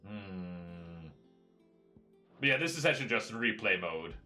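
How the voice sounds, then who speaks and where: A man's voice: low-pitched, expressive, unhurried, pushed and bright. A man hosting a casual let's play, chatting, laughing and reading game text aloud. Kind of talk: casual gameplay commentary. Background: game music.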